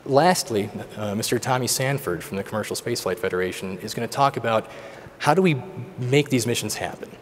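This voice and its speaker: A man acting as moderator, introducing the panel speakers in a relaxed, conversational way. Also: medium pitch, fairly quick, a little hiss or hum. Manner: conversational, uses fillers. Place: large conference hall. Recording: long hall reverb, faint background ambience.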